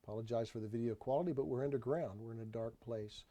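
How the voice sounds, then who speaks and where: Mellow-voiced man narrating a travel video. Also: mid-range voice, quick pace. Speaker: tour narrator. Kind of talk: unscripted travel video voice-over.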